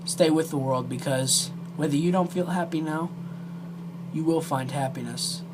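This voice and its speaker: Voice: mid-range voice, moderate pace, noisy background. An amateur video creator speaking directly to viewers in an earnest, emotional and hesitant way.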